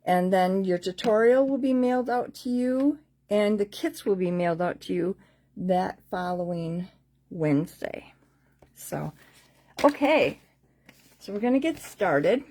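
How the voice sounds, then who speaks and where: A woman's voice: medium pitch, expressive, a little slow, darkish tone. A woman hosting a live card-making class in a casual, chatty, rambling way, repeating herself, her voice slightly worn as if she is losing it. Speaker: host of a card-making craft class livestream. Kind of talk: casual live tutorial chat with sales pitch.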